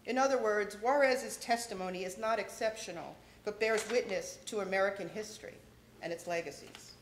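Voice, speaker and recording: A middle-aged woman at a podium giving a measured, formal welcome speech read from notes. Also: mid-range voice, slight background noise. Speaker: event organizer introducing speakers. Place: large lecture hall, at a podium. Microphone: podium microphone. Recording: echoey hall.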